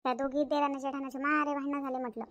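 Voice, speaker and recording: A woman acts out a proud village mother-in-law from a family cartoon. Her voice is high and her talk rattles along quickly, chatty and emphatic. She has a folksy Varhadi accent and a bossy, self-satisfied manner. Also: little pitch movement, a bit bright. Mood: defensive. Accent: Varhadi Marathi of Vidarbha, India. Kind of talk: Marathi family cartoon story acting.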